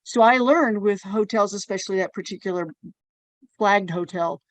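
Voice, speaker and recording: A woman hosting a relaxed talk show, chatting through personal stories. Her voice sits at an ordinary middle pitch. The sound is close and dry, with little room echo. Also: normal speed. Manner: chatty storyteller, personal anecdotes. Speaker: podcast host. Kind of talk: casual conversation.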